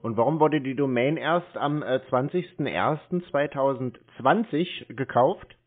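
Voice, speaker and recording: A man's voice: mid-range voice, a little slow. A man in a casual phone conversation, dry, laconic and skeptical. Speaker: scam-baiter taking a sales call. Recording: narrow phone-like sound.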